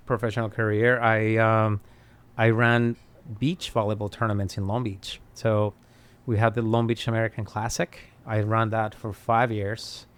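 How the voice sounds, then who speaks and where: A Spanish-speaking man from Colombia with a Colombian accent, chatting as a podcast guest in an animated way, laughing and restarting often. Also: on the low side, clear, resonant tone. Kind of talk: casual conversation.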